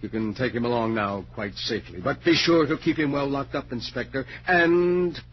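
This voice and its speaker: An actor playing a sly, morbid invalid gentleman who gloats with theatrical politeness, in a 1940s radio horror style. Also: mid-range voice, lightly acted. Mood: grave, explaining. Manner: polite, theatrical, drawn-out relish. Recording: dull noisy 1940s radio recording with hum.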